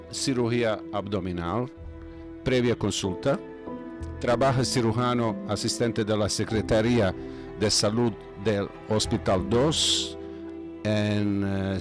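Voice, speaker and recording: A man hosting an interview in a language that is not his first, speaking haltingly with many pauses and fillers. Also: fairly low pitch. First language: not Spanish. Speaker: host. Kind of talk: interview podcast. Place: home, video call.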